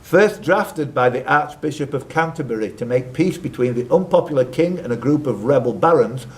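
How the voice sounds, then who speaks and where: A man's voice: medium pitch, animated, normal speed. Man hosting a live quiz. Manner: jokey, teasing asides to contestants. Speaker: quiz host and question reader. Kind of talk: live quiz hosting, reading questions with banter.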